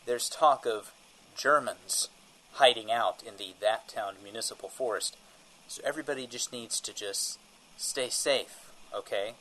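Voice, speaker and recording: A man's voice: mid-range voice, measured pace, sharp tone, slight background noise, rough recording. A man playing an earnest small-town man given to paranoid warnings in a comedic audio drama. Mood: anxious, earnest. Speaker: voice actor.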